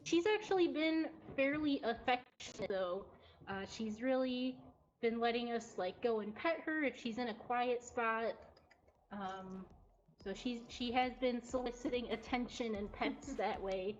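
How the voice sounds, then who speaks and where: A woman's voice: fairly high pitch, unhurried. A woman presenting in a casual, informal way on a livestream from a cat cafe. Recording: dull lo-fi audio, faint music. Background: faint background music.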